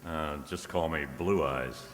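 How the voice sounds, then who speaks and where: A man's voice: a bass voice, unhurried, a bit bright. A man playing a breezy, teasing ex-cop private detective in an old-time radio drama style, recorded in a very reverberant space. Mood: breezy, flirtatious. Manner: breezy, teasing, first-person hardboiled narration. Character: wisecracking ex-cop private detective, unflappable, flirtatious. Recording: very reverberant room or hall.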